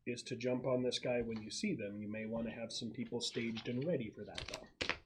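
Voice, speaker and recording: A man's voice: mid-range voice, steady tone, brisk, background noise. A man acting as game master, explaining the scene to players in a casual, conversational way.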